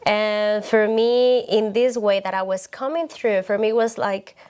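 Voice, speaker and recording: A woman speaking English as a second language, telling a story in a warm, heartfelt conversation and searching for her words. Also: mid-range voice, fairly even intonation, a little slow, smooth. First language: not English. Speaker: guest.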